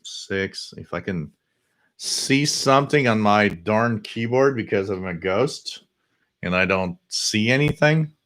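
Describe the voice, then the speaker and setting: Low-voiced guy hosting a radio live stream, slow and laid back, jokes around a lot, dry sound. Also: lively intonation, fairly bright tone, dry recording. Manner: jokey, laughs often, reads out frequencies. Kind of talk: casual live-stream chat.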